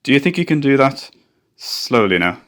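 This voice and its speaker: A man's voice: medium pitch, sing-song, normal speed, a bit bright. A man voicing a practical, earnest chief engineer in a radio play who also narrates and soothes the crew. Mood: coaxing. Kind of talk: amateur radio drama acting.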